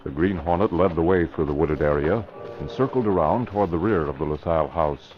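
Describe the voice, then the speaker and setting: Deep-voiced radio narrator, man. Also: a little fast, faint music behind. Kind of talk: old-time radio scripted narration. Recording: dull vintage radio recording.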